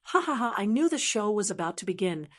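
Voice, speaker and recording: A woman's voice: mid-range voice, very animated, quick pace. A woman narrator voicing a gleeful, scheming toad in an audiobook.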